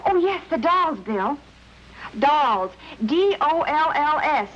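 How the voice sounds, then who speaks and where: Casting: inquisitive young wife-detective, breathless and chatty, very high voice, dull old radio sound. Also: female voice, big pitch swings, slow pace, a bit bright, full character voice. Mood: agitated, chatty. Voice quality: high-pitched. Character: flustered, inquisitive young wife turned amateur sleuth. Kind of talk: old-time radio mystery acting. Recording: muffled old radio broadcast, music.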